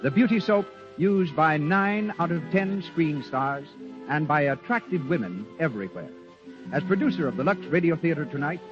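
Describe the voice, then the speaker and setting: A male announcer with a high-pitched voice speaks in formal 1930s radio style. The vintage broadcast sounds dull, with clear music behind him.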